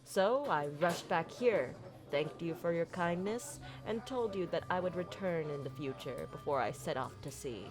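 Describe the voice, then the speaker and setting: Casting: woman to play a female pirate captain, low-pitched, flirty and tender, theatrical ASMR monologue over clear background music. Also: natural voice. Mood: wistful, tender. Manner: theatrical, flowery, sincere phrasing with deliberate pauses. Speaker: audio roleplay performer. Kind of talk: ASMR roleplay monologue, romantic storytelling.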